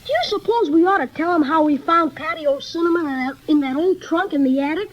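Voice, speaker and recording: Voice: very high voice, fairly quick. A young-sounding performer playing a wide-eyed, folksy little brother in a 1930s children's radio serial. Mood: reminiscing, excited. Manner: boyish old-fashioned exclamations. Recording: dull lo-fi 1930s radio transcription.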